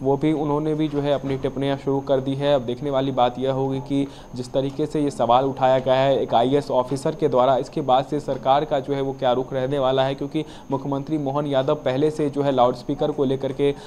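A man's voice: medium pitch, little pitch movement, brisk, few pauses, warm tone, slight background noise. A young man giving a live news report, leaning on a repeated filler phrase. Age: young adult. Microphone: handheld microphone.

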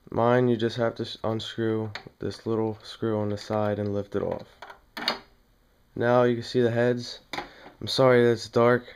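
A man giving a casual hands-on tutorial at home, talking plainly and haltingly as he works. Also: fairly low pitch, flat delivery, a little hiss or hum. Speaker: demonstrator in a home how-to video. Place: small dim room indoors at home.

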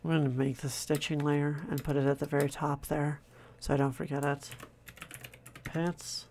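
A man quietly narrating his own drawing process, in a soft-spoken, calm way, thinking out loud. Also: fairly high pitch, moderate pace, vocal fry, a little hiss or hum. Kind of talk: casual relaxed commentary over drawing.

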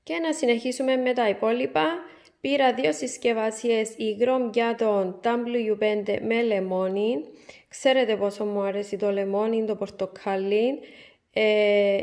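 A woman, chatting to camera and showing her groceries one by one in a casual home vlog. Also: a little high. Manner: chatty, warm sign-off, item-by-item show and tell. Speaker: vlogger. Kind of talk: casual supermarket haul vlog. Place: bright room by a window, indoors.

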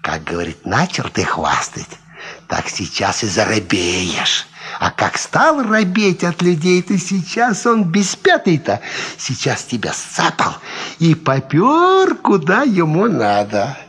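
An actor in a Soviet radio play playing a drunken, boastful old ex-soldier farmhand, rambling from mutters to shouts. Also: male voice, on the high side, huge pitch swings, slow pace, full character voice. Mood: confiding, defiant. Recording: 1950s Soviet radio drama recording.

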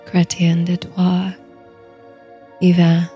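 A woman narrating a bedtime story and guided meditation in a soothing, hushed, softly breathy voice. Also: fairly low pitch, monotone, faint music behind. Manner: soothing, hushed, unhurried delivery. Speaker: host and narrator of a sleep story series.

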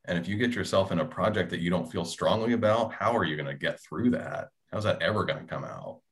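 A man's voice: low-pitched, lively intonation, fast talker, a bit bright. A man in his thirties, a writer, talking casually as a panelist on a video call. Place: small indoor room.

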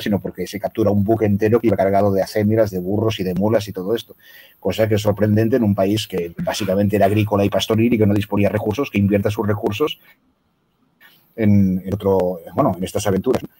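Fast-talking man. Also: lowish voice, mellow, rough recording. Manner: quick, discursive, lecturing. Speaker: guest researcher. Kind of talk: video call debate. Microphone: video call.

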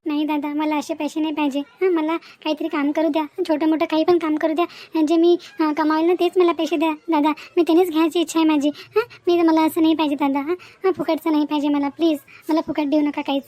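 Cartoon poor schoolgirl, acted by a woman: high, quick, earnest, proud, politely insistent. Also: little pitch movement, dark tone, no echo, natural voice. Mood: pleading, proud. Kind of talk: animated moral story dialogue.

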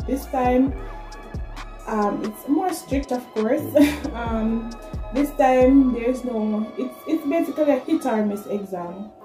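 A woman's voice: on the high side, slow. A woman in her twenties with a Jamaican accent, chatting casually and directly to viewers as a vlogger. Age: 20s. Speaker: vlogger, nursing student. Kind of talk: casual vlog talk to camera. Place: small plain room indoors. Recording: echoey room, music behind. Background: background music.

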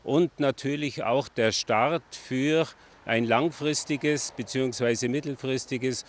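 A man's voice: mid-range voice, slow, slight background noise. A middle-aged man, a city official, giving a formal, structured interview outdoors into a handheld mic. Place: outdoors by a stream. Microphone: handheld reporter mic.

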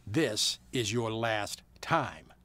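A man's voice: fairly low pitch, expressive, very slow, bright, forceful tone, a little hiss or hum, heavily acted. An actor playing a stern older male military colonel in a radio drama, reprimanding a subordinate. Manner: stern, disappointed.

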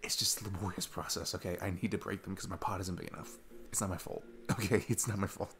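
A man's voice: low voice, fairly even intonation, fast talker, a bit bright, slight background noise. A man playing a sweet, nervous single dad in an intimate ASMR roleplay, rambling and hesitant in a casual, self-deprecating way. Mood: playful rambling.

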